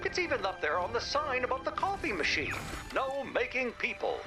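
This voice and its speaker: A man's voice: very high-pitched, sing-song, normal speed, music in the background. A man playing a quirky, earnest and playful oddball teammate in a video game. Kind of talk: animated video game voice acting.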